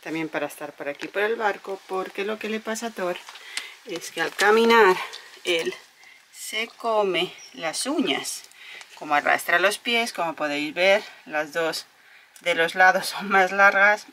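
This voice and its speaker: A woman from Spain talks casually to the camera while she works aboard a moored sailboat. Her voice is bright and sharp, and her talk is loose and rambling. A faint hiss of noise sits behind her. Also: on the low side, measured pace. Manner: chatty, loose and rambling, talking to the dog. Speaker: vlog co-host on a sailboat. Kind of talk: casual vlog chat to camera.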